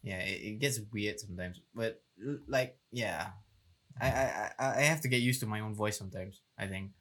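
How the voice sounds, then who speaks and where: Man, Malay English accent, podcast co-host, low voice, laid-back and halting. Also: a bit bright. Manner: easygoing, self-conscious, frequent stutters. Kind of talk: casual chat.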